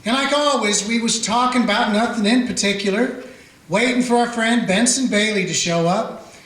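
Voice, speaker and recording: A man's voice: high-pitched, normal speed, a bit bright. Sex: man. Figure: a man playing a folksy frontier sheriff. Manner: drawling, plainspoken storyteller. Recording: roomy echo. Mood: reminiscing. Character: folksy small-town frontier sheriff, middle-aged man. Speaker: narrator and sheriff. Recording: roomy, echoey room.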